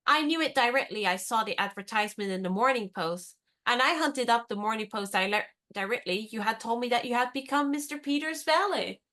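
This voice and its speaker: A bold young heroine, voiced by a woman, brisk and teasing with a crisp, bright tone that rides a little high. She sounds confident and competitive, every line pointed in this radio play.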